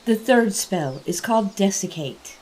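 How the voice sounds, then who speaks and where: Actress as composed female sorceress in fantasy audio drama, mid-pitched, formal yet very varied in intonation. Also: animated, very noisy, lightly acted. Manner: formal, deliberate presentation. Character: composed female spellcaster formally presenting her spells.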